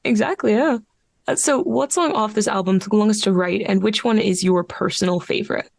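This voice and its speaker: A woman's voice: medium pitch, a little fast, vocal fry, no echo. A woman chatting casually as a podcast co-host and putting questions to a guest. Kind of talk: casual interview chat.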